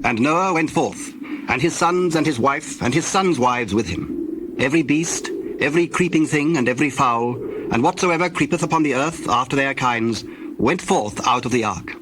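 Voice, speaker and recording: Male scripture narrator over loud bird ambience. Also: mid-range voice, background noise. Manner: formal, measured storytelling. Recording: loud nature ambience with birdsong behind.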